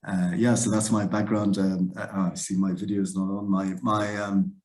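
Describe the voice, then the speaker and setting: A man from Zambia introducing himself on a webinar panel, relaxed and hesitant with many fillers. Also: low-pitched, moderate pace. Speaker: plant breeding panelist. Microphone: video call.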